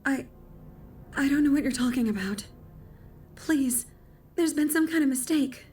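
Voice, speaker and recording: A woman's voice: sits high, measured pace, darkish tone, distant mic, soft background music. A woman playing a young woman in danger in an audio drama, speaking with strain and urgency. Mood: strained pleading. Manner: strained, pleading.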